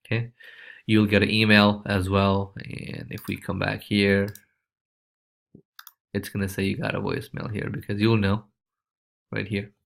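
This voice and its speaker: Casting: male tutorial presenter, lowish voice, informal and loose, walking viewers through on-screen steps. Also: monotone, measured pace, vocal fry, pauses often, fairly bright tone. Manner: informal, loose, repeats check-in tags like okay and right. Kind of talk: casual screencast walkthrough.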